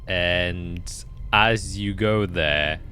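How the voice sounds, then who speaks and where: Deep-voiced male game master. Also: drawn-out words, sharp tone, clean tone. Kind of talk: casual rules talk and scene narration.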